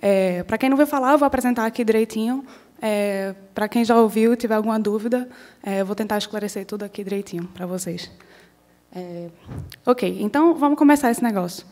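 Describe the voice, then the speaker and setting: Woman, Northeastern Brazilian accent, conference speaker, chatty, soft mellow tone. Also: medium pitch, brisk. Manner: chatty, checks in often with "certo?". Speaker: software engineer giving a conference talk. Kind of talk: conference presentation. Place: conference stage before an audience. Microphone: handheld or stage mic.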